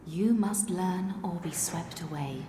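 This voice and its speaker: A woman playing a cryptic, solemn dream mentor in a video game, speaking in measured, portentous riddles. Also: on the low side, heavy background noise. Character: cryptic, solemn female mentor guiding a child through dreams. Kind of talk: scripted video game voice acting. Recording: echoing voice, loud ambience behind.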